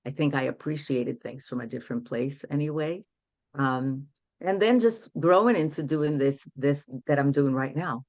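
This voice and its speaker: A woman's voice: extremely low pitch, no room sound, muffled recording. A woman in her sixties from Brazil, speaking English as a guest coach in an earnest, reflective way. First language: Portuguese. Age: 60s. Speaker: guest, women's empowerment coach. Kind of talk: casual interview storytelling.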